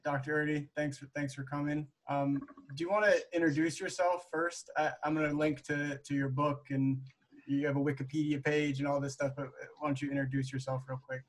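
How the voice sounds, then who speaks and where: A male podcast host asks questions in a relaxed, casual way. He often repeats words as he goes. His voice sits slightly high for a man. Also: fairly quick, rough recording. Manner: friendly, stammers and repeats words. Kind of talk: casual remote interview.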